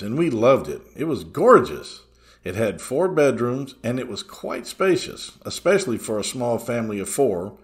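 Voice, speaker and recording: Male narrator, mid-range voice, animated intonation, scripted first-person storytelling. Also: medium pitch. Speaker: narrator reading a listener's true ghost story.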